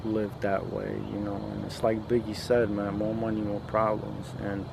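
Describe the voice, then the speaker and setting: Guy w a low creaky voice, halting slangy heartfelt monologue, noisy background hum. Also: completely flat delivery. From post-Soviet country. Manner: halting, repeats words, slangy "man" and "you know". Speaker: podcast host. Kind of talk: casual monologue to camera, reflective.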